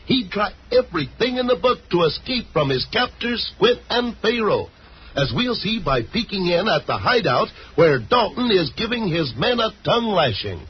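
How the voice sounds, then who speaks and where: A muffled old radio recording carries a folksy, theatrical western narrator. He is high-pitched and heavily rasping, excitable as he tells the serial. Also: heavily acted. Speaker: narrator and announcer.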